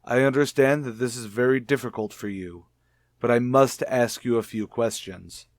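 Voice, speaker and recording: An actor playing a calm, persistent police lieutenant in a radio detective drama, formal and politely insistent. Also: male voice, medium pitch, normal speed, no room sound, studio quality, close to an everyday voice. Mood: politely probing. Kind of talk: old-time radio detective drama acting.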